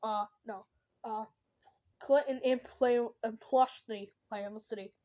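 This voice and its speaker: A woman with a fairly high voice reads out a mock emergency warning. Her delivery is amateur and halting, broken into short chunks as she stumbles and corrects herself. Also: fairly even intonation, mellow. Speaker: amateur emergency alert reader.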